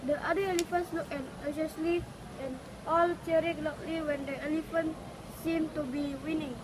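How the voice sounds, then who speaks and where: A woman's voice: sits high, steady tone, normal speed. A woman reading a folk tale aloud. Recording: clearly noisy recording with ambient sound.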